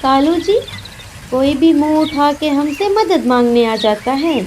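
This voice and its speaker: Spiteful lady crow, female actor, high voice, smug and scornful, children's cartoon, faint noise. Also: measured pace, darkish tone. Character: sly, spiteful, self-satisfied female crow. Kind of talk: Hindi children's cartoon voice acting.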